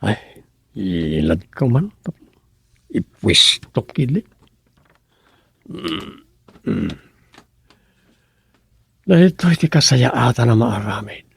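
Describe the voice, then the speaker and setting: A man with an Ilocano accent playing a middle-aged village councilman in a radio comedy drama, with a gravelly, husky voice. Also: medium pitch, big pitch swings, slow, pauses often, no room sound, full character voice. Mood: musing, grumbling.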